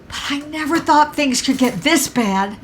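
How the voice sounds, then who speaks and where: A woman's voice: high voice, lively intonation, unhurried. A woman playing an anxious, dramatic middle-aged mother in an audio drama, flustered and a little prim. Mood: despairing. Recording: faint noise behind the voice.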